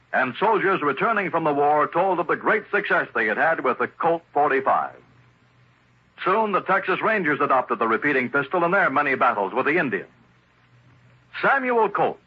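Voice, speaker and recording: A man announcing a scripted historical story in an earnest, declamatory manner, with a husky voice. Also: on the high side. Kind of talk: scripted narration. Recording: dull old radio broadcast.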